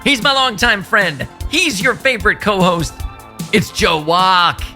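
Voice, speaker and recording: A man from the American Midwest hosting a casual podcast, upbeat and quick to laugh. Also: sits high, expressive, bright, forceful tone, faint music behind. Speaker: podcast host.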